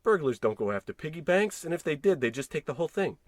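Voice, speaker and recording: An actor playing an excitable, fretful husband, theatrical and folksy, in a 1940s-style radio comedy. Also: male voice, fairly high pitch, fast talker, no echo. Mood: baffled, insistent.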